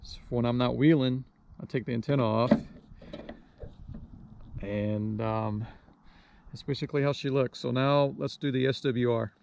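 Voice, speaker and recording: A man's voice: medium pitch, steady tone, a little slow, gravelly, long pauses, a little mellow, a little hiss or hum. A man giving a casual, hands-on how-to explanation in a hesitant, unscripted and modest way, as the host of a DIY video. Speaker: DIY video host demonstrating a radio installation.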